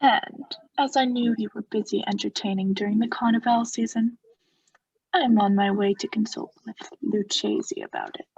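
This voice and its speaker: Young woman as coldly vengeful, husky-voiced Montresor. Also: medium pitch, sing-song, darkish tone, no room sound, lo-fi sound, full character voice. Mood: falsely solicitous. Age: young adult. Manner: measured, formal, insinuating. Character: Montresor, coldly vengeful noble, feigning friendship. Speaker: student actor. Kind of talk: theatrical radio play narration and dialogue.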